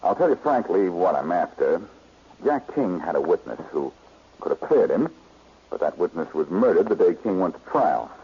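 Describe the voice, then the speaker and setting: An actor playing a confident, smooth-talking detective who questions pointedly, in a 1940s radio drama. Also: male voice, deep voice, sing-song, moderate pace, heavily acted. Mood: probing, polite. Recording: dull, noisy old radio recording.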